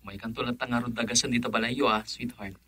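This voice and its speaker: A man's voice: medium pitch, steady tone, fast talker, sharp tone. A Filipino man playing an easygoing, affectionate young boyfriend in a radio drama.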